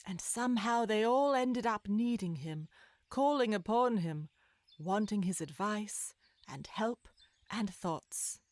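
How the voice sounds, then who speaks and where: A woman narrator reading a scripted children's story in an expressive, measured storytelling manner. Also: mid-range voice, no room sound. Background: music bed.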